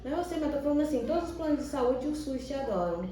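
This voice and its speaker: A woman's voice: sits high, lively intonation, very fast, mellow. A middle-aged Brazilian woman co-hosting a podcast in casual, playfully teasing chat. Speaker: podcast co-host. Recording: echoey room, distant microphone.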